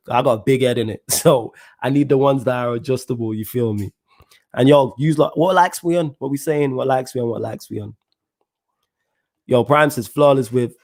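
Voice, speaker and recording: A man hosting a live football podcast stream, reading out viewer comments and answering in heavy slang. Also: medium pitch. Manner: animated, heavy slang, keeps saying "you know what I'm saying". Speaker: podcast host.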